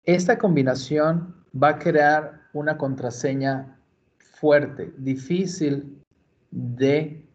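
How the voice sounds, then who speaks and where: A man's voice: medium pitch, darkish tone. A man from Mexico patiently explaining computer steps in a screen-recorded library tutorial. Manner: slow, patient, step-by-step explaining. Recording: dull lo-fi screencast audio.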